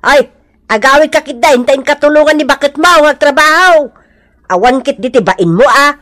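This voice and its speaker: A woman's voice: high voice, fairly bright tone. A woman playing a nagging older village auntie in a radio comedy drama, scolding and insistent. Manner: scolding, insistent, drawn-out questioning.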